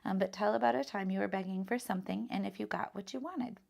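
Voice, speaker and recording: A woman reading a children's picture book aloud as a teacher, in an expressive, instructive style. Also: mid-range voice, fast talker, creaky.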